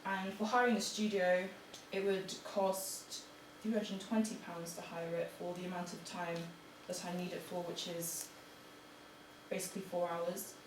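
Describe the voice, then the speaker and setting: A young woman giving an informal student presentation in a halting, hesitant way. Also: on the low side, little pitch movement. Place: room in front of an audience. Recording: echoey, noisy room, distant mic.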